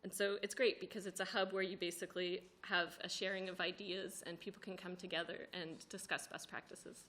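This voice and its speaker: A woman's voice: lowish voice, little pitch movement, brisk, a bit bright. A woman speaking to a committee hearing, listing things in an upbeat, enthusiastic way. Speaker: committee witness. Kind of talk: formal testimony.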